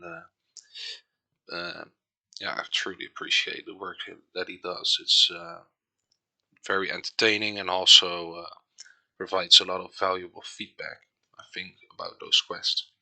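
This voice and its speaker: A man with a Dutch accent, a native Dutch speaker, giving casual let's play commentary and reading game text aloud, halting with many hesitations. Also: low voice, sharp tone, no echo, low-quality recording.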